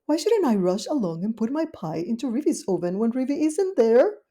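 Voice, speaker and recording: A woman's voice: a little high, sing-song, fairly quick, mellow, pitched above the performer's own voice. Woman doing a fretful little lady dog. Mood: scheming. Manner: anxious, fussy, talks to herself. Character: fretful, scheming genteel little female dog. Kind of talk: storybook character voice.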